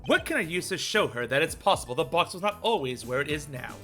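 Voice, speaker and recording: A man's voice: on the high side, very animated, fast, pushed and bright. A man in casual, joking chat during a game dubbing stream. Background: game music.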